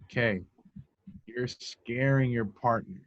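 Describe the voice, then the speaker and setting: A man's voice: mid-range voice, slow pace, natural voice. A man playing a cocky, indignant young male secret agent with streetwise talk in an amateur table read. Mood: pleading, protesting. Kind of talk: casual at-home script table read. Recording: dull lo-fi home recording.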